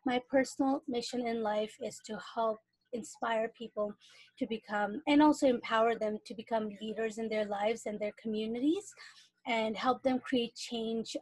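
A woman's voice: on the high side, darkish tone, husky, no room sound, rough recording. A young adult woman from Iran, a guest on a podcast, talking casually and thoughtfully with frequent fillers. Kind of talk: casual interview talk.

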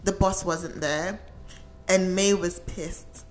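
Young woman, Nigerian-British accent, lowish pitch, animated, laughs a lot. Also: slow, faint music behind. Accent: Nigerian and British mix. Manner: animated, frequent laughter, emphatic asides. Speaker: podcast co-host. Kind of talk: casual chat.

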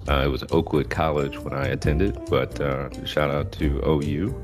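Very deep-voiced man hosting a chat. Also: moderate pace, music in the background. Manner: relaxed, many fillers and restarts. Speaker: host. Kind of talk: casual interview.

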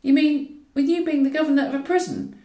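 A woman's voice: high voice, brisk, dark tone, voice pushed up. Woman acting a tactful bluffing female agent, echoey. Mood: flattering, bluffing. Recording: roomy echo, distant from mic.

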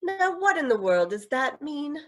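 Female actor playing an exasperated fiancée for vintage radio crime drama: high, swooping delivery, sharp-tongued yet loyal. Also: very animated, normal speed. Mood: uneasy, sardonic. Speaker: actress in a live radio theatre retelling.

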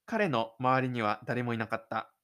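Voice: medium pitch, expressive, normal speed, fairly bright tone. A narrator reading scripted third-person storytelling for an audio drama.

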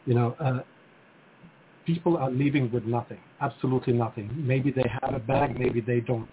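A man's voice: mid-range voice, steady tone, moderate pace, a little hiss or hum. A man from Lebanon, a charity president, answering interview questions earnestly with frequent fillers. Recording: tinny phone-like line with faint ambience.

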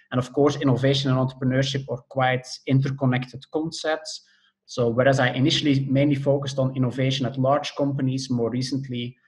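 A middle-aged man from Belgium, a professor of entrepreneurship, answering interview questions in a thoughtful way that explains things step by step, with frequent hesitations. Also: mid-range voice.

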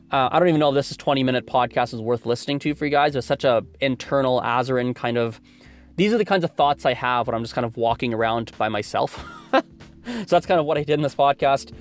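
A man in his twenties from Canada, hosting a solo podcast and thinking aloud, rambling with many restarts. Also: mid-range voice. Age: 20s. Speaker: podcast host.

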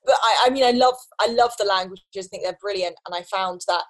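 A young woman in casual conversation, animated, often restarting her sentences and laughing between thoughts. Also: mid-range voice, fast. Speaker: guest.

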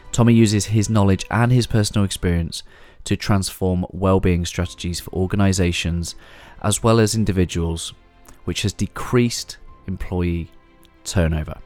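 A man with a deep, low voice hosting a podcast. He talks in an encouraging, easygoing way and slips in soft murmurs of agreement. Faint music plays under him. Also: moderate pace, hardly any pauses. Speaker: podcast host. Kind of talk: scripted intro, then casual interview.

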